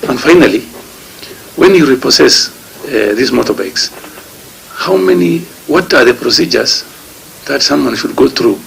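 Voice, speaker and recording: A man with a Kenyan accent, a senator questioning witnesses at a committee hearing in a haltingly paced list of numbered points. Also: medium pitch, lively intonation, gravelly, a bit bright, slight background noise, rough recording. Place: parliament committee room.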